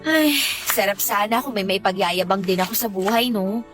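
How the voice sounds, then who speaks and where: A woman's voice: mid-range voice, moderate pace, close to an everyday voice. An actress playing a poor, long-suffering young working woman in a Filipino radio drama, conversational, complaining and self-deprecating, with a Filipino accent. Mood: wistful. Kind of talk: Tagalog radio drama acting. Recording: music bed behind the dialogue.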